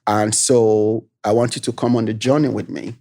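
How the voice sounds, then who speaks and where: A man from Nigeria with a Nigerian accent, a tech founder telling his story as an interview guest in a relaxed, easygoing way that is full of "you know" tags. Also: on the low side, normal speed. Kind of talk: casual interview chat.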